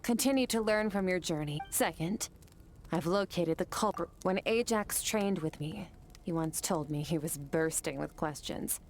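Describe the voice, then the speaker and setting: A woman playing an aloof, powerful female warrior mentor in an anime game, speaking in a cool, measured, detached way. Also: fairly low pitch, slight background noise, close to an everyday voice. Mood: cool, composed. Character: aloof, powerful female swordmaster from another world, cool temper. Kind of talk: anime game dialogue acting.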